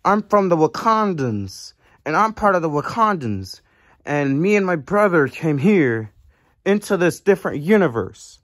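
A man's voice: on the high side, very animated, measured pace, a bit bright, no room sound, studio quality. A man voicing an eager, overly polite young woman helper in an improvised roleplay. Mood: earnest. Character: cheeky girl staff helper.

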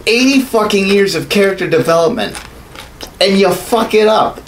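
A man's voice: sits high, expressive, moderate pace, background noise. A man in his thirties chatting casually, profane and ranting as a podcast co-host in a small room. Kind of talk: casual opinionated chat about films. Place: small home studio room, indoors.

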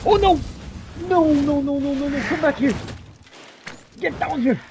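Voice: sits high, unhurried, background noise. A video game player doing in-character roleplay commentary, exclaiming and talking directly to the game's creatures and characters. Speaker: gaming video host roleplaying while playing.

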